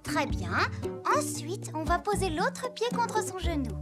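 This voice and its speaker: Super high little girl cartoon voice, bossy n eager, bouncing all over, music behind. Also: very animated, measured pace, exaggerated voice. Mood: eager, instructing. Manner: bossy, encouraging, exclamatory. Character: bossy, enthusiastic little schoolgirl eager to help her friend. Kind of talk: French children's cartoon voice acting.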